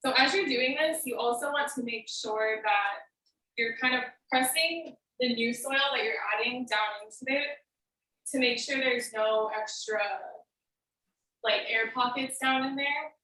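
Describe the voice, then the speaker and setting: A woman's voice: a little high, unhurried, bright, forceful tone. A woman giving a prepared how-to speech as a student, explaining step by step in a casual way. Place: indoor room on a recorded video meeting. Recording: roomy, echoey, distant microphone.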